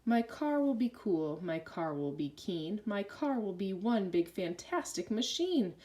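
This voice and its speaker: A woman reads a children's picture book aloud in an animated voice. Her pitch moves freely up and down as she follows the bouncy rhymes. The delivery is expressive and sing-song, at an ordinary female pitch. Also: measured pace. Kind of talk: rhyming children's read-aloud.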